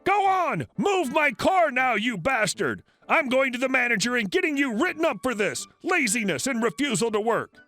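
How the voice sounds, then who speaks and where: Entitled angry woman, male narrator acting her out: shrill high pitch, bossy, abusive, indignant, for a story reading. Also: very animated, moderate pace, hardly any pauses, no room sound, faint music behind, exaggerated voice. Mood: furious, demanding. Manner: demanding, shouting, indignant.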